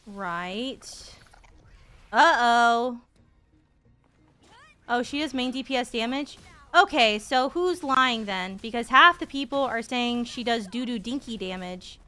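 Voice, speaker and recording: A woman's voice: a little high, expressive, unhurried, pushed and bright. A woman in her thirties giving casual, playful gaming stream commentary, full of slang and swearing. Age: 30s.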